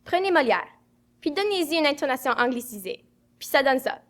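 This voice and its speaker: A woman's voice: high voice, animated, fairly quick. A young woman, making her points in a matter-of-fact way in a scripted sketch. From Manitoba, Canada. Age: young adult. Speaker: youth project member.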